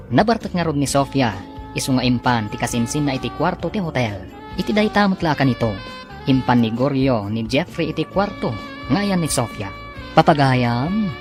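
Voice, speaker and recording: A man with a Filipino accent giving scripted, dramatic narration as the announcer of a radio drama. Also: mid-range voice. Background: music.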